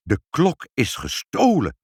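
Man doing an excitable, accusing busybody neighbour. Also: mid-range voice, slow pace, breathy edge, dry recording, studio quality, voice pushed up, exaggerated voice. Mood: breathless alarm. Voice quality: unpleasant, agitated voice. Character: excitable, triumphant, accusing nosy male neighbour.

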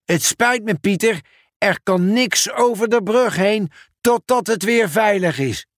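A man's voice: high-pitched, a little slow, no echo, studio quality, pitched above the performer's own voice. A man reading a storybook aloud, voicing a polite, apologetic male bridge worker.

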